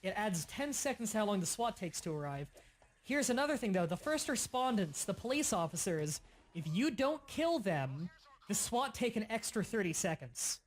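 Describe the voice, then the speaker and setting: A man's voice: high-pitched, very animated. Man with a slight stutter. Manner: stutters at times, quick explainer tone. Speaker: livestream host. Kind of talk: casual gaming stream chat.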